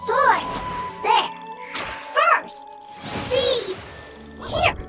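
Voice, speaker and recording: An extremely high, squeaky little mushroom creature from a video game. It speaks haltingly, one isolated word at a time. Loud music plays behind it. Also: female voice, expressive, exaggerated voice. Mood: eager.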